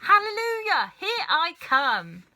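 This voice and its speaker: A woman voicing a bossy, gleeful, screechy ancient grandmother in a children's storybook, shouting exultantly. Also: very high voice, wildly swooping pitch, takes it slowly, pitched above the performer's own voice, full character voice.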